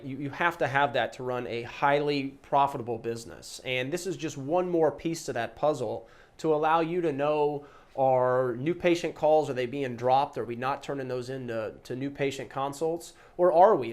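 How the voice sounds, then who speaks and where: A man presenting a promotional explainer as a trainer, talking in an emphatic, conversational way and posing rhetorical questions. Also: mid-range voice. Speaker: host and practice-management trainer pitching a service. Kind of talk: promotional explainer to camera or listener.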